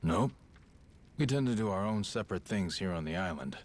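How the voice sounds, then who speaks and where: A man playing a frank, weary middle-aged yakuza boss in a video game, talking in gruff, matter-of-fact slang. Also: sits low, expressive, a little fast. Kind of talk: video game cutscene acting. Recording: loud music behind the voice.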